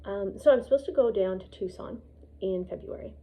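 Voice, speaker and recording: A woman's voice: medium pitch, a little fast, mellow. A Canadian woman vlogger talking in a casual, rambling personal monologue, blunt and opinionated, recorded on a phone. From Edmonton, Canada. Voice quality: husky, creaky voice. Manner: blunt, opinionated, hesitant phrasing with frequent swearing. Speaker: vlogger, piano student. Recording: dull phone-recorded lo-fi sound.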